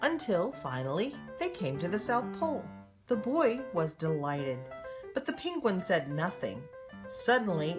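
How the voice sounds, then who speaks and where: An older woman, a grandmother, reading a children's storybook aloud expressively and speaking directly to young children. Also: lowish voice. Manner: addresses young children directly, simple animated delivery.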